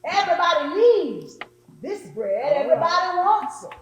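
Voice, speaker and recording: A woman's voice: extremely high pitch, very animated, normal speed, faint music behind. A woman delivering an oratorical sermon as a preacher, with emphatic rhetorical repetition. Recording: echoey hall.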